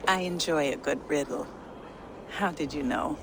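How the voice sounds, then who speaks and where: Serious, low-voiced young giantess. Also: female voice, normal speed, gravelly, noisy background. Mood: guardedly playful. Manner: dry, blunt, formal. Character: serious, dry-witted young giantess, dutiful daughter. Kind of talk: video game acting.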